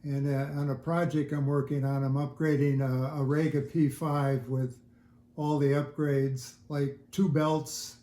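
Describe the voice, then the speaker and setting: Older man, mellow voice, roomy sound. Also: a little high, level tone. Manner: halting, thoughtful explaining. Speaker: interview guest, audiophile hobbyist. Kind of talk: casual interview chat. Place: indoors at home listening room. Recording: roomy, echoey home recording.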